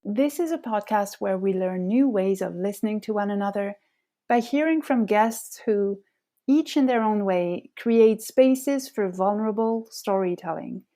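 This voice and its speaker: A woman in her thirties from France, a native French speaker with a French accent, hosting a podcast with measured, scripted personal narration. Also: mid-range voice, warm tone. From France, Paris suburbs. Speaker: podcast host.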